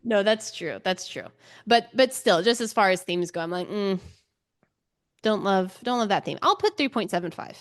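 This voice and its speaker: A woman's voice: medium pitch, animated, normal speed, no echo, studio quality. A woman co-hosting a book podcast in casual chat, thinking aloud in an informal way.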